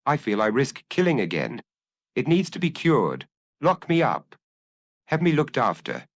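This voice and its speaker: A man's voice: mid-range voice, big pitch swings, unhurried, dry recording, studio quality, pitched above the performer's own voice. Man reading as an anguished, confessing killer, widely swinging voice. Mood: desperate. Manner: earnest, self-analyzing, confessional. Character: anguished young male killer confessing to his compulsion. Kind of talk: dramatic audiobook reading.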